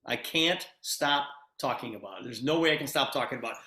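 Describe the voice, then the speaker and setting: Male online-meeting host, enthusiastic and informal, bright high-pitched delivery, repetitive emphasis, echoing room. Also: lively intonation, a little fast. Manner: repeats phrases for emphasis. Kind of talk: enthusiastic, informal moderating of a group call. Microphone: video call. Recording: roomy, echoing video-call sound.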